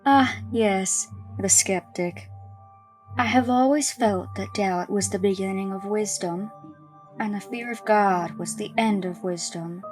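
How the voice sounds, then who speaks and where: An actress playing a pious, calmly menacing schoolteacher in a horror audio drama, preaching in a measured way. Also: female voice, mid-range voice, natural voice. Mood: solemn, preachy. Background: music.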